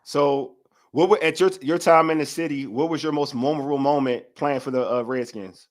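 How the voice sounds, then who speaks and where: A man's voice: medium pitch, fairly even intonation, moderate pace. A man, hosting a casual sports podcast over a video call and talking in loose, slangy repeats. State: hoarse from a cold. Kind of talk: casual sports talk show.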